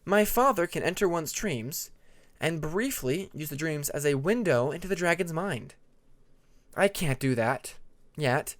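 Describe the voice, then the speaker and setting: A man voicing a patient, earnest young female dragon who explains her magic in a measured way in an audiobook. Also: a little high, expressive. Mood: explaining.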